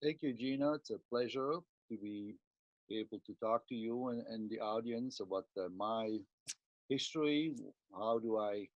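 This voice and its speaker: A man in his sixties from Switzerland with a Swiss accent, a cardiologist giving a halting talk over slides with many fillers and restarts. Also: on the low side, dry recording. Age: 60s. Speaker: cardiologist guest. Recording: dry, muffled lo-fi sound.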